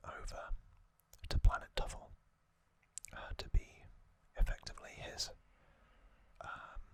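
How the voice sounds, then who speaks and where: An ASMR host of unclear sex whispering an explanatory recap in short, halting phrases, fully hushed.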